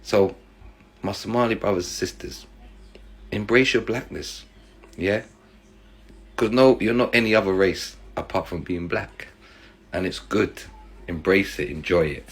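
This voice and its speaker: A man's voice: low-pitched, unhurried, pauses often, breathy edge. A man from East Africa speaking earnestly in a reaction video. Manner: earnest, encouraging.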